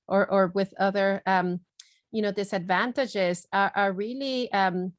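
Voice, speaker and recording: A middle-aged woman from Guatemala talks earnestly on a video call, with frequent fillers and restarts. Her voice sits at an ordinary pitch, and the sound is dry and close. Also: no echo. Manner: earnest, many fillers and repeated words. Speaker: neurologist and webinar speaker. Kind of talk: webinar presentation and Q&A.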